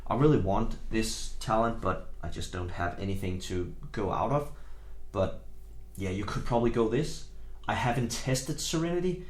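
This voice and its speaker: Danish-accented man explaining a game build. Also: fairly low pitch. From Denmark. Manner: relaxed, rambling, hedging with fillers. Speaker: gaming guide creator. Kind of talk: casual game guide voice-over.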